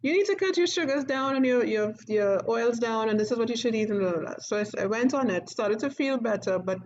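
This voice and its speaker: A woman's voice: fairly high pitch, animated, brisk, darkish tone. A woman taking part in an online workshop, sharing her experience in a chatty, casual way. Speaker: workshop participant. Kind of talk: casual chat. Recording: dull lo-fi call audio.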